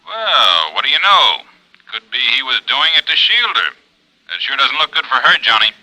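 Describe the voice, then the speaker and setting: A man's voice: mid-range voice, big pitch swings, moderate pace, sharp tone, low-quality recording. A man acting a businesslike, no-nonsense American homicide lieutenant in a 1950s radio drama. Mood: brisk, interested. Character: businesslike male New York homicide police lieutenant.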